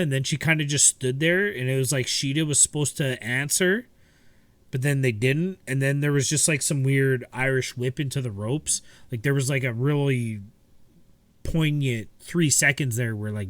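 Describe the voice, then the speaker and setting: Male podcast co-host, mid-pitch, casual and rambling, dry close sound. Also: no echo. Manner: relaxed, rambling with many fillers and restarts. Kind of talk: casual chat.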